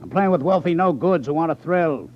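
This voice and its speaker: Actor as a smug gangster boss, swinging delivery. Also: male voice, on the high side, expressive, brisk, lightly acted. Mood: scheming, confident. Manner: condescending, sardonic, tough talk. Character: smug, menacing crooked gambling boss, cocky schemer. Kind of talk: 1950s radio drama acting. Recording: dull 1950s radio broadcast recording.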